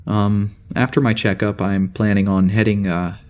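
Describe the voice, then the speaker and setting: A man playing a flustered security officer on a spaceship, recording an informal audio log in a halting, rambling way for an audio drama. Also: on the low side, flat delivery, a little mellow, lightly acted. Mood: casual, uncertain. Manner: halting, rambling, full of hesitations and sighs.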